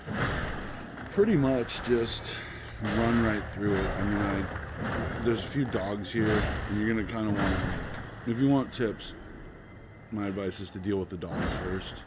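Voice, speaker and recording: Man with a very low voice, sick, laid-back gameplay commentary, heavy background noise. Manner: laid-back, rambling, many ums. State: sick, voice a bit off. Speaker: gaming channel host. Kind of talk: casual gameplay walkthrough commentary.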